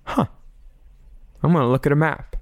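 A man's voice: fairly high pitch, dry recording. Campy snarky male podcast host. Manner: campy, snarky, quick to laugh. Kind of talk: casual recap chat.

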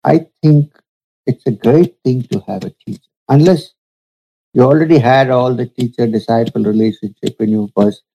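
A man, a spiritual teacher, telling a story from his past in a slow, measured, reflective way during an interview. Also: mid-range voice, mellow, breathy edge, dull audio. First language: Urdu.

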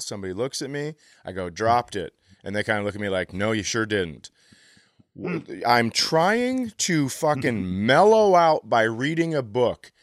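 A man's voice: mid-range voice, dry recording, studio quality. An American man hosting a comedy podcast, telling casual stories with a profane, exasperated ranting streak. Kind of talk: casual comedic chat and storytelling.

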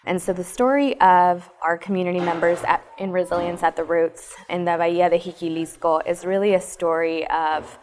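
Lower-voiced woman guest, faint noise. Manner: explanatory, with frequent um fillers. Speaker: guest, former city councilor. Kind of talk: interview answers. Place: cafe, live recording.

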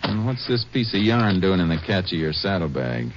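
A man's voice: low-pitched, very animated, normal speed. An actor playing a seasoned, fatherly but firm Texas Ranger, plain-spoken in the manner of a 1950s radio western. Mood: stern. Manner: folksy, firm, questioning. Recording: muffled, noisy 1950s radio recording.

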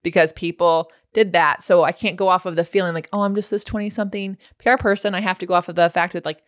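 A woman's voice: fairly low pitch, steady tone, fast, dry recording. Affirming podcast host woman, dry mic. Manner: warm and affirming, laughs often. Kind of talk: casual podcast interview.

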